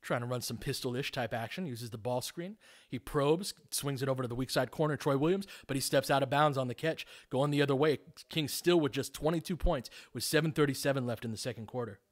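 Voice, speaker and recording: A man delivers live basketball commentary in a middle-of-the-road pitch. He uses short, clipped phrases, mixing play-by-play with informal, analytical breakdowns of the action. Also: brisk.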